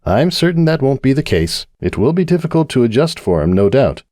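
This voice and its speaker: A man voicing a stallion bartender pony who speaks quietly, low and level. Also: big pitch swings, brisk, no echo, studio quality, close to an everyday voice. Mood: soothing.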